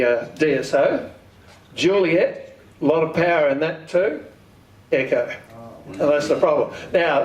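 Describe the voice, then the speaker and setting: An Australian man with a slightly high-pitched voice gives an informal club presentation, recorded from a distance in a very echoey room. He is plain-spoken and often poses questions that he then answers himself. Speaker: amateur radio club speaker. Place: community hall.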